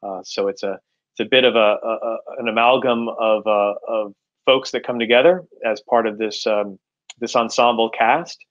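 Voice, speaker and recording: Low-voiced middle-aged man, halting delivery. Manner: halting, repeats words while explaining. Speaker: author being interviewed. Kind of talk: conversational interview answers. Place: indoors at home, room with bookshelves, on a video call. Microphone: video call. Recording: slightly dull video-call audio.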